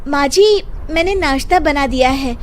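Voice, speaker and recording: A woman voicing a polite, anxious young daughter-in-law in an animated moral story, speaking deferentially and expressively. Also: high voice, normal speed, natural voice. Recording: clearly noisy background.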